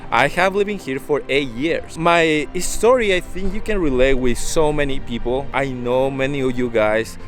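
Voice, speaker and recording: A man's voice: mid-range voice, moderate pace, hardly any pauses. A man from Ecuador with a Spanish accent tells his personal story in a casual, earnest vlog style, in non-native English. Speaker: vlogger. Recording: music under the voice.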